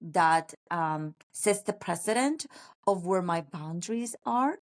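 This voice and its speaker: A woman's voice: fairly low pitch, lively intonation. Earnest, reflective woman guest with Spanish as her first language. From Paraguay. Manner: reflective, earnest, pauses with fillers. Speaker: podcast guest. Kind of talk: casual conversational interview.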